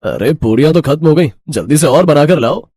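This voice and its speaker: Casting: male actor as impatient village family man in an animated tale, lively swings in pitch, grumbling, dry sound. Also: brisk, slightly rough, no echo. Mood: demanding. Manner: demanding, complaining.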